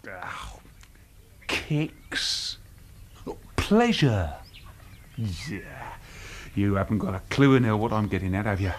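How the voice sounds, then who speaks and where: Jeering british thug in an old radio drama, slangy, taunting, voice jumps all over, bit of hiss. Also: male voice, on the low side, sing-song, takes it slowly, long pauses. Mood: gloating, taunting. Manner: taunting, slangy, tag questions. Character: sneering, bullying, hard-drinking male lout, cruelly mocking.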